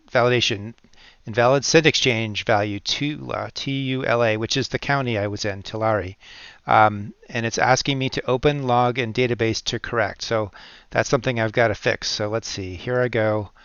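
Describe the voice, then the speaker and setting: An American man giving an informal step-by-step software tutorial, thinking aloud as he works through each step. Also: mid-range voice, clean tone, no echo, lo-fi sound. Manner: thinks aloud while working through steps. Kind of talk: step-by-step screen-recording tutorial narration, informal.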